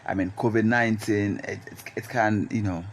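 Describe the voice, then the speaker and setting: Male discussion host, Ghanaian accent, slightly deep voice, thoughtful and questioning, a little noise on the recording. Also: steady tone, normal speed. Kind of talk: conversational moderating. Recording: noticeable background noise.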